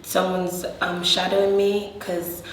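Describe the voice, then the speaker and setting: A woman's voice: on the low side, level tone, slow pace, background noise. A woman originally from America, chatting casually as a guest in a group discussion. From United States. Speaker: panel guest. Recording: echoey room, distant mic, chatter behind.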